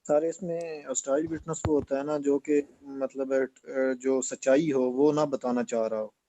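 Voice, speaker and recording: A male student answers haltingly and off the cuff. His voice is dark and relaxed, and the recording is a little muffled. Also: medium pitch, slight background noise. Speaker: law student. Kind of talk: spontaneous class answer. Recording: slightly dull lo-fi sound.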